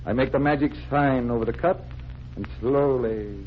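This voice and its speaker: An actor playing a confident stage magician turned detective in 1940s radio style, theatrical, deliberate and instructing. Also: male voice, mid-range voice, natural voice. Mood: showmanlike. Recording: dull, noisy 1940s radio recording.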